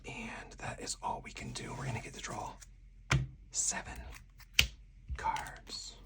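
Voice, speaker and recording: A person whispering softly and breathily in ASMR style, narrating a solo card game move by move as the host. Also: slow pace. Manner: hushed, narrating each move aloud step by step.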